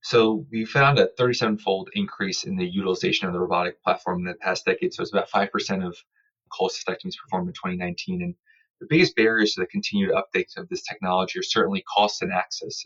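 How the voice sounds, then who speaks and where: A man's voice: deep voice, fairly bright tone, muffled recording. A man explaining research in an interview with formal, careful, technical phrasing. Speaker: surgical researcher interviewed as study author.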